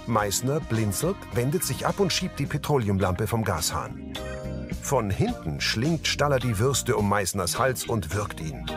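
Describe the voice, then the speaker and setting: A man giving concise, neutral scripted narration of on-screen action as an audio describer. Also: mid-range voice.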